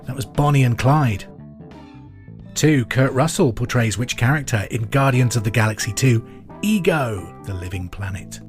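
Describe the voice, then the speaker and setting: A man hosting a pub quiz podcast, reading questions and answers in a clear, deliberate way with casual asides. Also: medium pitch, expressive. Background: music under the voice.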